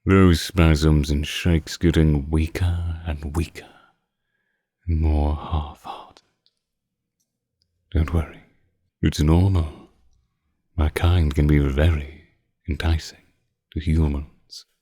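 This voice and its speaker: A man playing a seductive, possessive vampire in an intimate ASMR roleplay, teasing his captive with condescending tenderness in a slow purr. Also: very deep voice, flat delivery, warm tone, breathy edge, no room sound, clean studio sound, exaggerated voice. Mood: seductive, assured.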